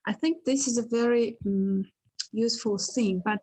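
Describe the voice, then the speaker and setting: A woman's voice: on the high side, moderate pace, darkish tone. A woman asking a question on a video call, hesitant and often restarting her phrases. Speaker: audience member asking a question. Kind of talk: online Q&A question.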